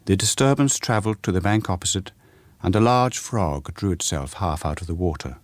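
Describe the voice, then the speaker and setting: A man reading a short story aloud as radio narration, in a measured, quiet storytelling manner. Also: sits low, expressive, dry recording. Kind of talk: scripted narration. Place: radio studio.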